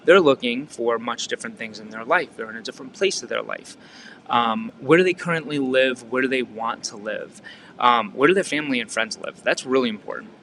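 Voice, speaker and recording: A man's voice: medium pitch, brisk, a bit bright, a little hiss or hum, low-quality recording. A man from Massachusetts with a Massachusetts accent, coaching real estate agents in a casual teaching talk, full of rhetorical questions, fillers and restarts. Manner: conversational, asks the listener frequent rhetorical questions.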